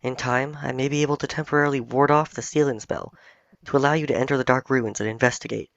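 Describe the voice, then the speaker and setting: A man's voice: mid-range voice, fairly even intonation, quick pace, gravelly, no room sound, lightly acted. Man reading a solemn ancient cat spirit. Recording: dull, dry home recording.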